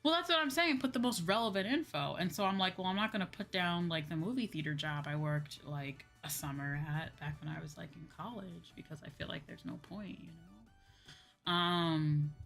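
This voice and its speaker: Female streamer, lowish voice, informal rambling chat over clear background music. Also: moderate pace, a bit bright. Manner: informal, conversational, frequent "like" and "you know". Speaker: VTuber livestream host. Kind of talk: casual livestream chat with viewers.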